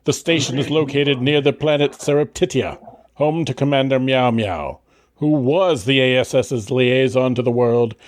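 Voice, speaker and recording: Male narrator, middle pitch, dry close recording, scripted radio-serial style. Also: normal speed, no echo. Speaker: narrator of an audio drama.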